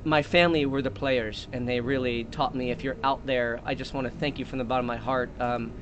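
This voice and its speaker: Male comic storyteller, rambling and mock-earnest, mid-pitched, faint noise behind. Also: steady tone, brisk. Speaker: comedic storyteller. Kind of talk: improvised comic monologue.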